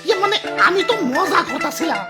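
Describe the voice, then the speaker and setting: A man's voice: very high voice, expressive, quick pace, over loud music. A man playing a haughty, scornful rich orange fruit father in a comic cartoon, with a Bangladeshi accent and a pompous manner. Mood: fawning. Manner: pompous, scolding, sneering. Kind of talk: exaggerated comic cartoon acting.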